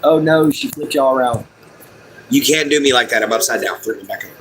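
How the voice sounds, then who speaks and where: Booming man chatting on a phone stream. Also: brisk, fairly bright tone, a little hiss or hum, low-quality recording. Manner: laid-back, rambling, apologetic. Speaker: livestreamer. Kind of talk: casual live stream chat. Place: home room with kids and dogs. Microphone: phone propped on a table.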